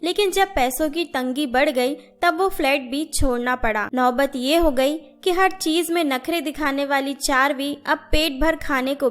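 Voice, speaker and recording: A woman's voice: high voice, a bit bright. A woman narrating a scripted moral story for children.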